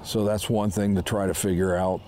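A man's voice: sits low, level tone, moderate pace, dark tone, a little hiss or hum. A man in his fifties hosting a fishing show, casually explaining his technique as he fishes from a boat on a lake. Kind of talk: casual instructional talk while fishing. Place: small boat on a lake outdoors.

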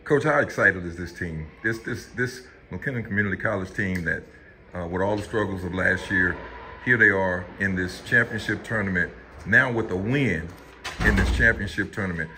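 Male sports reporter, halting questions, bright forceful tone, slightly low voice. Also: slight background noise. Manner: halting, lots of fillers. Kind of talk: press conference questions.

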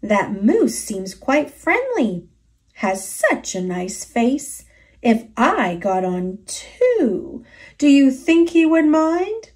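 A little tree spider from a children's storybook, put on by a woman. It is polite and flattering, speaking high and unhurried with a lilting range. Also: exaggerated voice. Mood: ingratiating.

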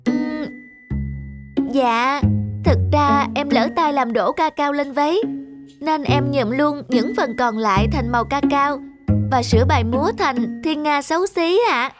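A woman's voice: high-pitched, a little slow, loud music behind the voice. A woman voicing a kind, spirited little ballerina girl in a cartoon, earnest and childlike. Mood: shy, earnest. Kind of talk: cartoon dubbing.

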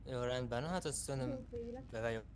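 A man's voice: mid-range voice, darkish tone, slight background noise. A man talking casually as he negotiates in a roleplay game.

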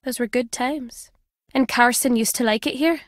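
A woman's voice: a little high, normal speed, no room sound, studio quality, close to an everyday voice. An actress playing a wary, blunt middle-aged woman, an old family friend, in a video game. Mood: nostalgic. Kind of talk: scripted video game voice acting.

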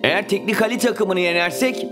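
A man's voice: high voice, fairly quick, natural voice. A man playing a loyal, questioning young football player in a Turkish cartoon dub. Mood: reassuring. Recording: loud music behind.

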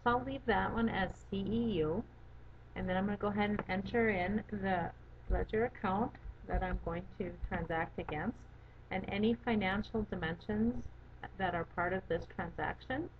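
A woman giving a methodical, step-by-step software walkthrough as an instructor. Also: medium pitch, normal speed. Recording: dull lo-fi audio with background noise.

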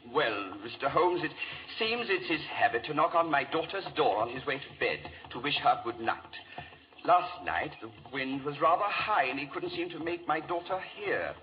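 A man's voice: a little high, moderate pace, slight background noise, lightly acted. An actor playing a worried, chatty English lord, a father, telling a tale in hesitant 1940s radio drama style. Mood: dramatic recounting. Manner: animated, hesitant storytelling. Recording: dull old radio recording.

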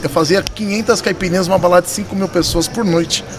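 High-voiced São Paulo man telling stories. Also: steady tone, quick pace. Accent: São Paulo Brazilian. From São Paulo, Brazil. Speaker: podcast guest, poker dealer and tournament director. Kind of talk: casual storytelling in a podcast interview. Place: poker club room, indoors. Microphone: vintage-style desk microphone. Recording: busy room crowd murmur behind.

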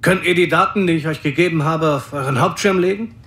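A man's voice: a little high, fast talker, a bit bright, slightly rough. An actor voicing a man who lays out an infiltration plan in a sci-fi audio drama. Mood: explaining.

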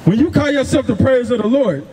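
A man's voice: very high-pitched, animated, a little fast, in a large hall, a crowd in the background. A man preaching emphatically on the street through a handheld microphone, repeating phrases and giving commands. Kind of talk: confrontational open-air street preaching. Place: outdoors on a grassy housing-project lawn. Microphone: handheld microphone through a portable speaker. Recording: noisy outdoor street recording.

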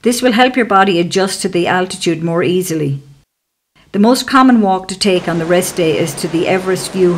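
A woman giving calm, measured, scripted narration for a travel documentary as a voice-over. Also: lowish voice, noisy background.

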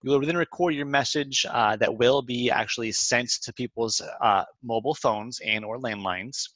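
A man presenting a software walkthrough in an informal, step-by-step instructional way. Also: mid-range voice, normal speed, no room sound. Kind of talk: walkthrough narration of a screen demo, semi-scripted. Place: close dry voice-over for a screen recording.

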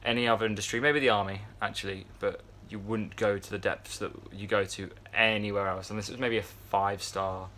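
Brit guy in his 20s vlogging on a boat, low monotone, lots of ums, faint hum behind. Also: fairly quick, hardly any pauses. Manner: rambling, many fillers and restarts. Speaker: yacht crew vlogger. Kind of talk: casual vlog chat to camera. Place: yacht crew area, indoors, fan nearby. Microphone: phone camera at arm's length.

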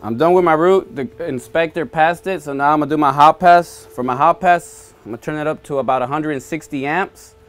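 A man's voice: a little high, a little fast, hardly any pauses. A young man taking a welding test, explaining his next step in a matter-of-fact way inside a shop. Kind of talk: narrating his own work.

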